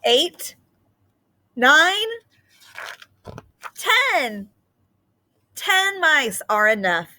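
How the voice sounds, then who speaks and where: A hungry, greedy snake from a children's storybook, acted out by a woman. The voice is bright and raised in pitch, with a deliberate, sing-song lilt as it gloats. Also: sing-song, slight background noise, exaggerated voice. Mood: eager counting.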